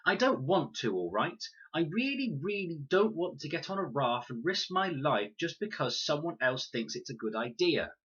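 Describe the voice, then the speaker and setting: A prickly young storybook girl, as acted out by a male reader, argumentative and defiant. The voice is pitched high with a husky edge, on a slightly dull recording. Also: big pitch swings, moderate pace, hardly any pauses, fairly bright tone, heavily acted. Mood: angry, defensive. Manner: indignant, disbelieving, argumentative. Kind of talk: children's audiobook character voice.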